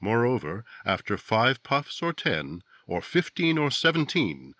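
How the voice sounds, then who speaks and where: A man playing a grave, brooding narrator of a 1950s true-crime radio drama, speaking in a dramatic, deliberate way. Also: mid-range voice, very animated, a bit bright, no echo. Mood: emphatic, selling.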